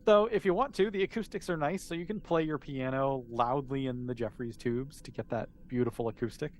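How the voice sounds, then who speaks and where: A man's voice: medium pitch, a little fast, slight background noise. A man running a tabletop roleplaying game as game master, explaining and narrating in an informal, conversational way.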